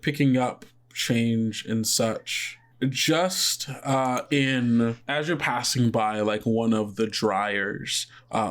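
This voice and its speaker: A man runs a tabletop game, describing scenes in loose, rambling phrases packed with fillers. His voice sits at an ordinary middle pitch. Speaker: game master.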